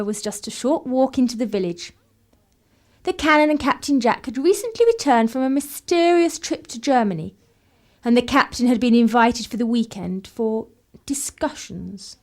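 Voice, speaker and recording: Radio play: actress as arch, bored 1930s English society girl, young, high-pitched, sardonic. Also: a little mellow, lightly acted. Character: bored, sardonic young upper-class daughter of 1930s clergyman. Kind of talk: radio play acting and narration.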